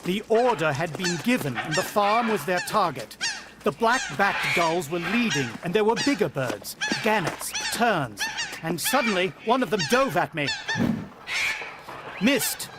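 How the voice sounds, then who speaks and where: An actor playing a rattled, protective farmhand husband in a suspenseful, vintage-style radio drama. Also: male voice, sits high, sing-song, measured pace, very noisy. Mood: tense, breathless narration. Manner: dramatic, suspenseful first-person storytelling.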